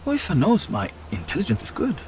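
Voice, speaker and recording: An actor playing a silky, mocking, self-serving German army officer with a German accent in a video game. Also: male voice, a little high, wildly swooping pitch, quick pace, a little mellow, soft background music. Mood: cagey, scheming. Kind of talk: video game cutscene acting.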